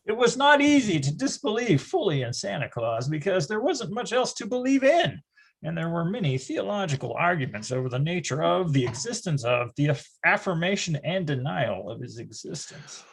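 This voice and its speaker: Man playing a high-voiced, animated nostalgic narrator. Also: moderate pace, vocal fry, breathy edge, heavily acted. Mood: wry nostalgia. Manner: storytelling, mock-grandiose, emphatic. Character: wry grown man reminiscing about his boyhood. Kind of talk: scripted dramatic narration, readers theatre.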